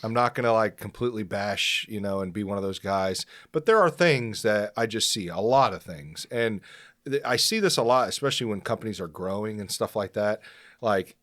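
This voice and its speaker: A man's voice: lowish voice, moderate pace, a bit bright. A man hosting a podcast in casual chat, loose and profane, laughing often. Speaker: podcast host.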